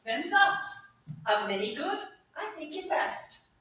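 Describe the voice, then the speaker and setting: A woman playing a teasing, cheeky waiting-maid in a theatrical Shakespearean staged reading, with dry, knowing, measured asides. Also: high voice, big pitch swings, bright, forceful tone, exaggerated voice. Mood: coy, knowing. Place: echoey room at a live staged reading. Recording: echoey room, distant mic, faint noise.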